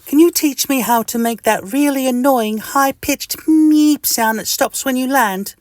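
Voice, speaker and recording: A man voicing a tiny, fussy, polite male itch creature in a squeaky, genteel storybook voice. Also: unhurried, no echo, clean studio sound. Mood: chirpy polite. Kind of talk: storybook character voice.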